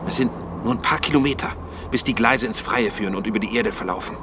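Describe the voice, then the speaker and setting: A man's voice: on the low side, fast talker, noisy background, natural voice. An actor playing an agitated male insider in a science-fiction radio drama, speaking formally, theatrically and insistently. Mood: controlled, formal. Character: agitated male insider warning of a murderous system.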